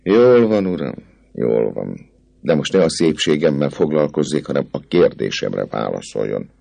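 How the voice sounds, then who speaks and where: A man's voice: extremely low pitch, big pitch swings, gravelly, mellow, dry recording, heavily acted. A man voicing an inquisitive, coquettish young noblewoman who pouts and presses with questions. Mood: teasing. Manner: pouting, questioning.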